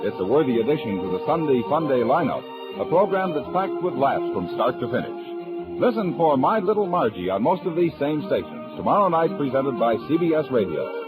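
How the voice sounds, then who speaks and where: A man's voice: mid-range voice, sing-song, fairly quick. A man with an American accent reading a scripted announcement in 1950s radio style. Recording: narrow-band old radio broadcast recording. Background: music.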